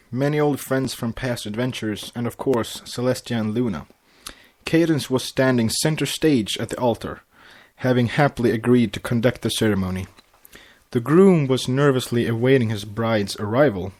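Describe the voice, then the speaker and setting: A man with a mid-pitched voice reads fiction aloud. He narrates in a storybook style, as a podcast host sharing a tale. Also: normal speed, a little mellow. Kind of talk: storybook narration with acted dialogue.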